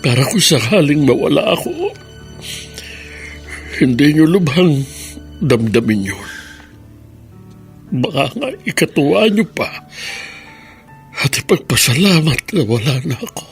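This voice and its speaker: Sorrowful, stubborn-turned-repentant elderly Filipino father for radio drama; highish, swooping, halting voice over music. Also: very animated. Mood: sorrowful confession. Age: older. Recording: music bed behind the voice.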